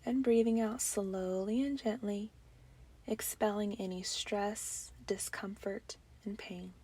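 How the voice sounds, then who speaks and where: Breathy, slow-paced woman guiding meditation. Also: mid-range voice. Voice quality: soft, breathy, often trailing into near-whisper. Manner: calm, gentle, soothing, with long pauses. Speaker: meditation guide. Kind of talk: guided breathing meditation narration.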